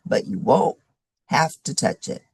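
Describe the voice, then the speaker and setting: A woman's voice: a little slow, dry recording, studio quality, lightly acted. A woman voicing a friendly, knowledgeable male snake handler from a children's storybook, easygoing, folksy and keen to explain. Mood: easygoing, instructive.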